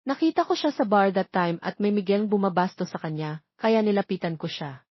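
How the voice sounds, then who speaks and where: A woman's voice: medium pitch, expressive, a little fast, no echo, natural voice. An earnest, caring young Filipino suitor in an audiobook romance, read by a woman. He speaks politely and sincerely. The sound is dry, close and slightly dull. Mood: polite, apologetic.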